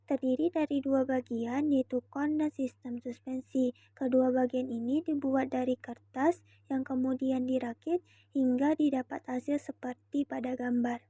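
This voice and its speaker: Young woman, student presenter; high, very dark-soft timbre, formal scripted explainer delivery, dry recording. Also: little pitch movement, a little slow, slightly rough, no echo. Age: young adult.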